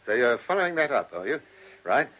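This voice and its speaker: An actor playing a shrewd, gruff British police chief inspector in a radio play, with colloquial, musing speech. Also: male voice, mid-range voice, sing-song, a little fast, sharp tone. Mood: brisk. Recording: old radio drama recording.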